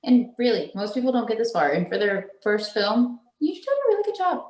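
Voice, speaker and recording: A young woman with a slightly higher voice, heard over a video call from an echoey room at home. She co-hosts in a casual, encouraging way and keeps reaching for "like" as she talks. The room's reflections are audible around her voice. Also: sing-song, fairly quick, a little mellow. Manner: encouraging, says "like" often. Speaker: co-host.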